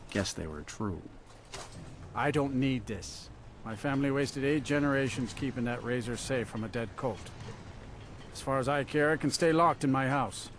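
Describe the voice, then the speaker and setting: A male actor as a gruff, wary fantasy townsman in a video game. He talks curtly and guardedly at a middling pitch, with faint noise behind him. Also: animated, normal speed, fairly bright tone, close to an everyday voice. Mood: defensive, bitter.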